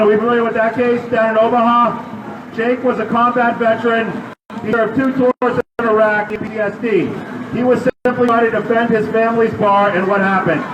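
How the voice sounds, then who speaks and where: A man delivering a loud protest speech at an outdoor rally, firing questions at the crowd. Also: very high voice, noisy background. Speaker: rally speaker. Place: outdoor city square. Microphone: public address system heard from a distance. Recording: echoey outdoor PA from far off.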